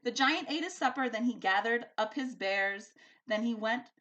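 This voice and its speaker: A woman reading a rhyming children's story aloud like a teacher, with an expressive, sing-song rhythm. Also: fairly high pitch, normal speed, dull audio. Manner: animated, sing-song rhythm following the rhyme.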